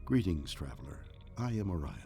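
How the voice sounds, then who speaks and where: A stately artificial mind from a science fiction game acted by a man speaks very low, with a widely moving melody and faint music behind. The manner is formal, deliberate and gently puzzled. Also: sing-song. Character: male mind housed in an alien obelisk, puzzled, formal.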